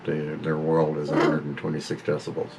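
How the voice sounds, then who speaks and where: A man in his fifties chats at home about his parrot. His voice sits very low with a gravelly fry, and his talk wanders and breaks off to scold the bird. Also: monotone, fairly quick, noisy background. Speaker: pet owner vlogger. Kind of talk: casual talk to camera.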